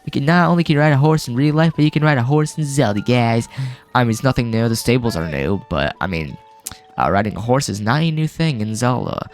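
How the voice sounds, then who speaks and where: A man's voice: medium pitch, animated, fairly quick, soft background music. Casual man narrating a game, still coughing. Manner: rambling and casual, full of fillers. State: getting over a cold, with a cough. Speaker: gaming video host. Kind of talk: let's-play commentary.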